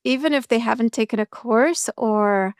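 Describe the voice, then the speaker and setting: Female speaking coach, interview guest; smooth voice with some fry, dry close sound, measured and reassuring. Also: a little high, no echo. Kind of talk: podcast interview answers.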